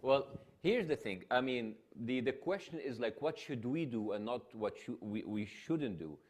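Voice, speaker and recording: A man with an Egyptian accent hosting an on-stage public discussion, talking with many restarts and an animated delivery. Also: mid-range voice, normal speed. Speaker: panel host.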